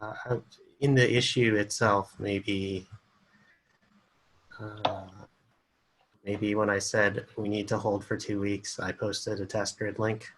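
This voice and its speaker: A man on a video call calmly explaining details in a casual meeting. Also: fairly low pitch, slow, long pauses, slight background noise, rough recording. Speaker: meeting participant.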